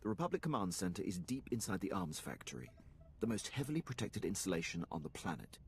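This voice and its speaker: A man's voice: low-pitched, very animated, fast talker, a little hiss or hum. A man playing an eager, deferential Imperial military officer in a video game, speaking formally and precisely. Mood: briefing, zealous.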